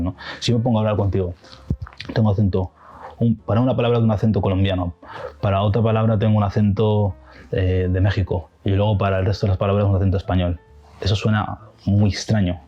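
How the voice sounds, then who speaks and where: A young man speaks in a very low, dark, mellow voice that stays fairly flat. He talks loosely and rambles, restarting phrases as he gives casual advice like a teacher. The audio is slightly dull, with faint music underneath. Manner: loose, rambling delivery with frequent restarts and fillers. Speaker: English teacher. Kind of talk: casual spoken advice to camera.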